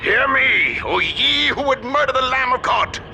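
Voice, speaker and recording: An actor playing a fanatical, menacing preacher villain who declaims in archaic biblical phrases. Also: male voice, very high-pitched, lively intonation, unhurried, noisy background. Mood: wrathful, fervent.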